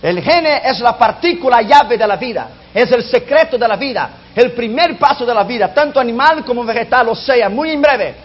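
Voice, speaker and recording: A man preaching a sermon whose first language is not Spanish, emphatic and dropping low and soft on key lines. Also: lively intonation, moderate pace, hardly any pauses, husky. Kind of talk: sermon on prophecy. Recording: dull lo-fi recording.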